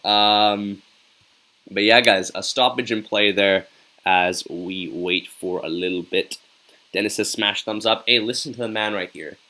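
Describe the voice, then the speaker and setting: A young man runs a casual live stream, reading out viewer comments and hyping his chat with a steady stream of "yo". His voice is bright and forceful, pitched slightly low. He keeps a loose, friendly, talkative energy throughout. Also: measured pace. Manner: upbeat and chatty, says "yo" a lot, hypes up viewers. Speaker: live-stream host reacting to a hockey game.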